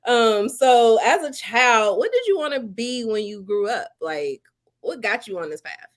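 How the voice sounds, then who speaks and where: A woman's voice: a little high, expressive, measured pace. A middle-aged woman hosting an interview, asking questions and affirming her guest's answers. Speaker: host. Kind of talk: casual interview.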